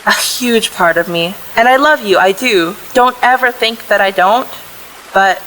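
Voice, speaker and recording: A woman's voice: medium pitch, a little slow, fairly bright tone, slight background noise, close to an everyday voice, rough recording. A woman playing a loving, worried mother of a teenager in a contemporary audio play, speaking earnestly and tenderly. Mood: tender, wistful.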